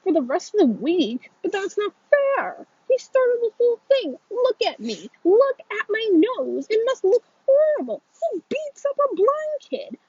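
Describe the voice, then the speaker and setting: A woman's voice: very high-pitched, very animated, rapid-fire, mellow, no echo, distant mic, dull audio, pitched above the performer's own voice. A woman voicing an indignant, sulky schoolboy who whines and protests in a storybook reading. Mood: indignant, whining. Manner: pouting, protesting. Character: indignant, whining blind schoolboy, sulky and defensive.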